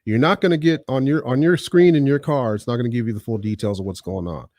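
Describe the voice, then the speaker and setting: A man who is a retired police officer, calmly explaining police work from experience and restarting phrases as he goes. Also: medium pitch, no echo, studio quality. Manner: measured, restarts phrases, frequent "you know".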